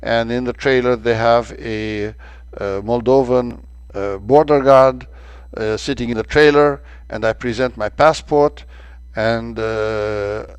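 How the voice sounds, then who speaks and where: An older man from Romania giving a slide-show lecture about his travels, pausing often with fillers and restarting his sentences. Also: mid-range voice, steady tone, clean tone, no room sound. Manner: halting, many filler sounds and restarts. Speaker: presenter of a travel talk.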